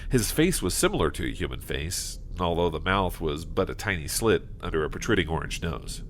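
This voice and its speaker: A man's voice: low voice, very animated, fairly quick, fairly bright tone, slight background noise, exaggerated voice. A man playing a plain-spoken, troubled farmer of fifty-one, telling his story in an audio drama with folksy, hesitant earnestness. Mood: earnest, troubled. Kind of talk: first-person audio drama narration. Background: music.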